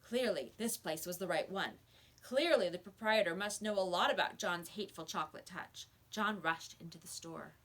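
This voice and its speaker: A woman reading a children's story aloud as a teacher would, with expressive narration. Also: fairly low pitch, moderate pace.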